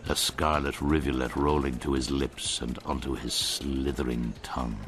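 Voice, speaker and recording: A man narrating a radio drama in the first person, measured and brooding. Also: a bass voice, level tone, over music.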